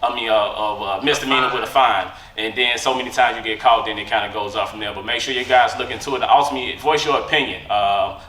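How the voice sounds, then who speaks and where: A man's voice: fairly low pitch, quick pace, hardly any pauses, sharp tone. A man hosting a podcast in an earnest, casual way, filling gaps with "you know" and "uh". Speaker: podcast host and farmer. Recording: echoey room, far from mic.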